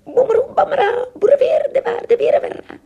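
A very high, piping little king speechifies in pompous gibberish. The sound is thin and phone-like, as in a children's radio play about miniature people. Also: normal speed, warm tone. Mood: speechifying. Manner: babbling nonsense syllables in orator fashion. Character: tiny pompous Lilliputian king babbling gibberish orders. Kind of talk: children's audio drama acting. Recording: thin, tinny, band-limited sound.

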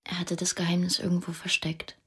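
An actress playing a maimed young Afghan woman in a radio drama, speaking in short, simple, halting sentences with a husky, breathy voice. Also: fairly low pitch, completely flat delivery, no echo, clean studio sound. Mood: hushed, secretive. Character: maimed young Afghan woman, hushed, plain-spoken survivor.

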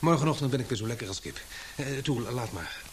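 A man acting in a Dutch radio drama as a sharp, suspicious investigator, speaking deliberately with hesitant pauses. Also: medium pitch, expressive, slight background noise, close to an everyday voice. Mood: shaken, reassuring.

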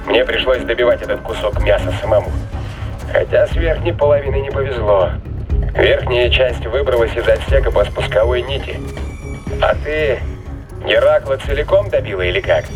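A man's voice: medium pitch, moderate pace, music in the background, natural voice. An actor playing a ruthless, sardonic man fighting aboard a spaceship in a sci-fi thriller audio drama. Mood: mocking, assured. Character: ruthless gloating male spaceship fighter, triumphant, mocking.

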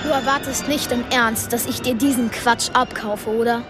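Woman as highish-voiced, dismissive teenage boy. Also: fairly even intonation, moderate pace, over loud music, lightly acted. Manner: curt, sarcastic. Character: young teenage boy, sceptical, hurt and dismissive. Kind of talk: radio play acting.

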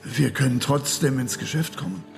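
Creaky-voiced, ingratiating shady dealer. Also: male voice, medium pitch, normal speed, music in the background. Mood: nervous placating. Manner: eager, placating. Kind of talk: radio drama acting.

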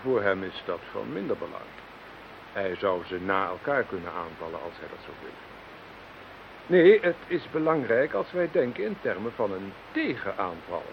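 An actor playing a self-assured doctor of the paranormal in a Dutch audio drama, speaking in measured, formal, lecturing phrases. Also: male voice, fairly low pitch, sing-song, gravelly. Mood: explaining. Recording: dull, noisy, hissy old radio recording.

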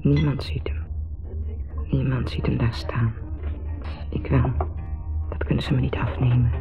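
Sardonic wife with a creaky voice. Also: female voice, rumbling low, flat delivery, a little slow. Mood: bitter, wistful. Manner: sardonic, theatrical monologue with whispered asides. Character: mocking, bitter, lonely wife musing about her husband. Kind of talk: radio drama monologue. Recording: thin, phone-like 1970s radio recording with music.

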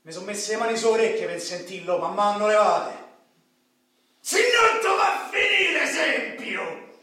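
A man's voice: high-pitched, expressive, normal speed. An actor playing an agitated, haunted Roman street man in a radio play, with a Roman accent and intense colloquial delivery that swings in volume. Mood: shaken, grim. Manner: intense, colloquial, swinging between murmurs and shouts. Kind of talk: radio theatre monologue. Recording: very echoey, distant mic, music behind.

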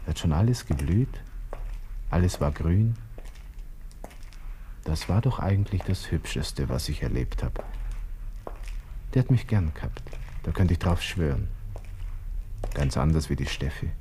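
An actor playing a melancholy, cynical young Austrian army lieutenant with a Viennese accent, murmuring wryly to himself in a radio play monologue. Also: male voice, extremely low pitch, lively intonation, a little slow, pauses often, warm tone. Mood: wistful, bitter. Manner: musing to himself, murmured, wry. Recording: hissy old radio play recording.

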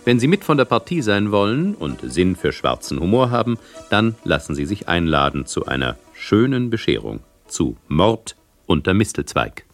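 A man's voice: deep voice, sing-song, normal speed. A man as a radio announcer, delivering a formal, suspenseful scripted introduction to a 1980s radio play. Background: music.

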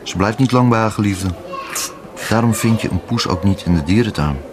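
An actor playing a wistful man clinging to his former lover, gentle and musing, in a naturalistic radio drama. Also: fairly low pitch, lively intonation, brisk, background noise, natural voice.

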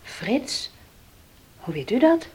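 Guarded, higher-voiced young woman. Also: sing-song, slow pace, a little mellow, husky, exaggerated voice. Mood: cool, curt. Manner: tense, evasive, curt. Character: guarded, defiant working-class young woman. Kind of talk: Dutch radio play acting.

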